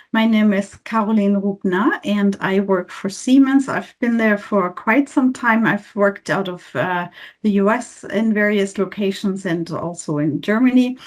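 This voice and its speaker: A woman introduces herself on a panel. She speaks in a polite and formal way, with occasional fillers. Her voice sits at a middle pitch. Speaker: industrial researcher.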